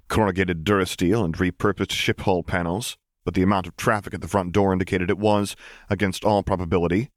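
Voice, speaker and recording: A man with a deep voice narrates scripted fiction as an audiobook reader. The sound is dry and close, with no room around the words. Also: dry recording.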